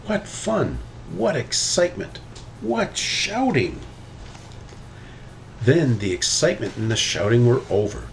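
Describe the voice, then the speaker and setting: A man reading a children's story aloud with expressive, animated delivery. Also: medium pitch, slow, creaky, pauses often, a little hiss or hum. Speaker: storybook reader.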